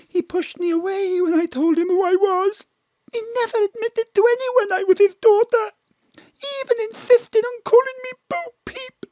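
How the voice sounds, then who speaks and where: A very high, tearful young woman. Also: darkish tone, dry recording. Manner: pleading, breathless confession. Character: young woman, emotional, yearning for her father's love. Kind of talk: audio drama acting.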